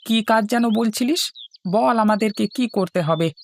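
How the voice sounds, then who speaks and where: A woman's voice: on the high side, unhurried, creaky. A woman voicing an affectionate, hearty village father and farmer in a cartoon. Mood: glad, earnest. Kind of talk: Bengali cartoon dubbing.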